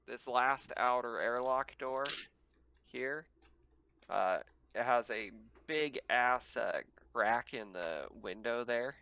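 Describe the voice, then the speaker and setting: A man's voice: mid-range voice, slow pace, sharp tone, muffled recording. A man running a tabletop game as game master, describing scenes casually and a little hesitantly as he pieces them together.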